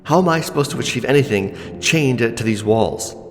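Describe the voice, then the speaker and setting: A man's voice: mid-range voice, animated, normal speed, close to an everyday voice. A man playing a proud young necromancer in a fantasy ASMR roleplay, speaking formally and earnestly. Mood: indignant, defiant. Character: proud young necromancer, defiant orphan of royal blood. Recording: long hall reverb, loud music underneath.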